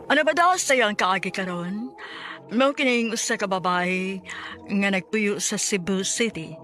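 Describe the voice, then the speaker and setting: A woman's voice: medium pitch, a little slow, fairly bright tone. A woman with a Cebuano Filipino accent reading dramatic scripted narration as a radio drama announcer. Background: music.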